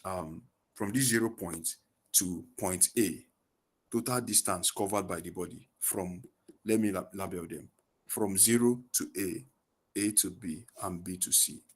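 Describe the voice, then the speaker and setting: A man runs an online physics lesson through a headset on a video call. His voice sits fairly low, and he quizzes his students as he goes, answering the questions himself. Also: slightly rough. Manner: asks leading questions and fills in the answers. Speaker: physics tutor.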